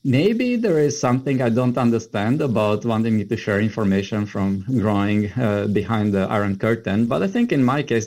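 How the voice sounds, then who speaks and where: A man's voice: on the low side, gravelly, a little mellow. A middle-aged man with a Romanian accent, explaining things conversationally as an interview guest. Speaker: interview guest, computer science educator.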